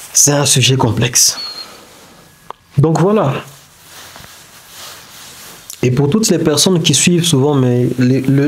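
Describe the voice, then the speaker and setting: A man whose first language is not French gives a reflective motivational talk, halting and searching for words with many restarts. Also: medium pitch, a little hiss or hum. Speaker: self-development video creator. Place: indoors beside a whiteboard diagram.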